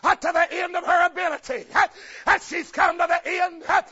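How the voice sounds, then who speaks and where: A man preaching a chanted revival sermon in a shouted cadence, with an uh after every phrase. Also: very high voice, fairly even intonation, a little fast, some chatter behind. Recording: lo-fi recording with faint congregation. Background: congregation responding.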